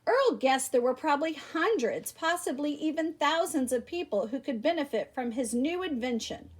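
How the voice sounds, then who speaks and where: A woman reading a children's picture book aloud like a teacher, animated, with theatrical emphasis. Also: high-pitched, moderate pace. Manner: animated, theatrical emphasis with exclamations and rhetorical questions. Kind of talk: expressive children's story read-aloud.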